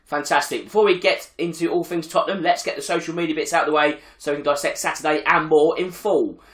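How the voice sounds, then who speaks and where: A man hosting a football podcast, hoarse from a chest infection, talking in an upbeat, chatty way. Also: a little high, steady tone, fast talker, fairly bright tone, echoey room. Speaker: podcast host. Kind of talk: casual football podcast chat.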